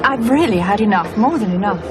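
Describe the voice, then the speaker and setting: An actress playing a poised, scheming woman, politely measured and coolly ironic, in a radio horror drama. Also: animated, loud music behind the voice, natural voice. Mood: polite, demure. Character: poised, scheming woman with a secret revenge plan. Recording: noisy rumbling background.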